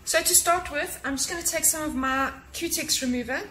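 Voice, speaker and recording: A woman's voice: sits high, brisk, sharp tone, slight background noise, rough recording. A woman in her thirties talking casually to camera in her home kitchen, giving practical cleaning and organizing tips to viewers. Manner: chatty and practical, gives tips directly to viewers. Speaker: cleaning vlogger. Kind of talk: casual how-to narration to camera.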